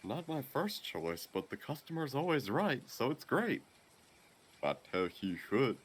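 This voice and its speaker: Man putting on a sly, lively shady merchant. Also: medium pitch, measured pace, a little hiss or hum, voice pushed up. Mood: cagey, obliging. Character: shady, folksy male merchant, flirty and cagey.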